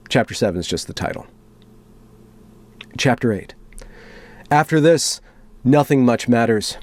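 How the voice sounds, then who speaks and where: A man with a mid-pitched voice in a very dry recording space reads text aloud for a commentary video. His delivery is deliberate and theatrical, loosened by offhand, wry remarks in a conversational tone. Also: a little mellow, no room sound. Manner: deliberate, dramatic read-aloud broken by wry, conversational asides. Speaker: commentary vlogger. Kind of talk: reading a written post aloud with offhand commentary.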